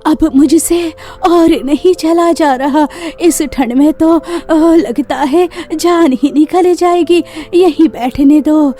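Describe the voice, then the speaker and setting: A woman's voice: sits high, mellow. Woman playing a weary, lamenting old grandmother. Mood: exhausted. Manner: slow, drawn-out lamenting.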